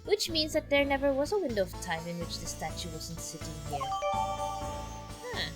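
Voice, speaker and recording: A woman's voice: mid-range voice, sing-song, moderate pace. A woman live-streaming a game, reading its dialogue aloud expressively and chatting casually with her viewers. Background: game music.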